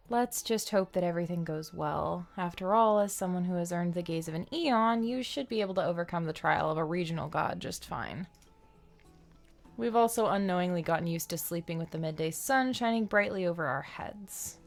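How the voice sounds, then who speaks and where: Creaky-voiced woman streaming a game. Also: medium pitch. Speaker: livestreamer playing a story game. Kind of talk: reading game text aloud with casual reactions.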